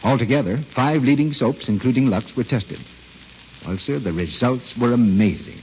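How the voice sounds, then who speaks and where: A man's voice: medium pitch, normal speed, a little mellow. An American man announcing on 1940s radio with a polished, persuasive, emphatic delivery. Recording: dull, noisy old radio broadcast.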